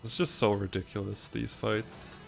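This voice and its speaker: A man's voice: lowish voice, fairly even intonation, normal speed, vocal fry, rough recording. A man from the Netherlands whose first language is Dutch, chatting casually in commentary while playing as a speedrunner, with a pronunciation mixing British and American. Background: game music.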